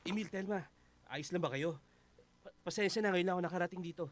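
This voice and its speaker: A concerned Filipino friend, played by an actor in a radio drama. His voice is high and quick, and he sounds apologetic and placating. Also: noisy background, natural voice. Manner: hurried, placating. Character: Dante, concerned, apologetic male family friend.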